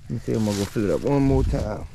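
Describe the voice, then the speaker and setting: A man's voice: medium pitch, lively intonation, very fast, creaky, mellow, a little hiss or hum. A man, talking casually to his son and his viewers in an easygoing vlog filmed outdoors on a handheld camera. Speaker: vlogger. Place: outdoors on a bayou.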